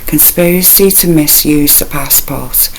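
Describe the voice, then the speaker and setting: A woman with a low voice hosting her own true crime channel, talking through the case in a soft, mumbling, unpolished way without a proper microphone. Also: unhurried, a little hiss or hum, rough recording. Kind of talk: casual rambling true crime commentary.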